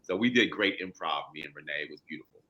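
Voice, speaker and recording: A man's voice: a bass voice, lively intonation, quick pace, highs cut off. A man, an actor, talking casually and emphatically as an interview guest in colloquial language. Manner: colloquial, emphatic, peppered with 'you know'. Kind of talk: casual, animated-worded storytelling in an interview.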